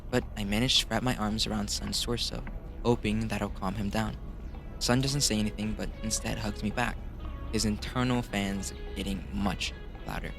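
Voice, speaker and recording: A man's voice: lowish voice, steady tone, normal speed, gravelly. A man hosting a gaming video, reading a visual novel aloud with casual commentary and correcting himself when he stumbles. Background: music.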